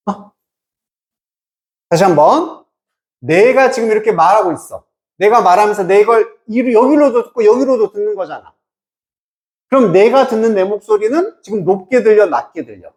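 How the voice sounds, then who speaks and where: High-pitched, lively male English teacher. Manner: asks and answers own questions, checking understanding; emphatic, informal teacherly address. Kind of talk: explanatory exam-prep lecture.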